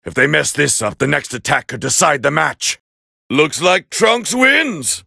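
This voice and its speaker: A man voicing a proud, scornful alien warrior prince as battle commentary in an anime fighting game, with a harsh, gravelly growl and a haughty, clipped delivery. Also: a little high, sing-song, a little slow, bright, forceful tone, no room sound, clean studio sound, heavily acted. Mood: grudgingly impressed.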